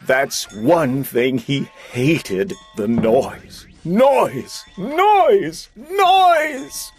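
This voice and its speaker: A man's voice: a little high, big pitch swings, extremely slow, soft background music. A man narrating a children's story aloud in a theatrical, dramatic style, stretching words for emphasis.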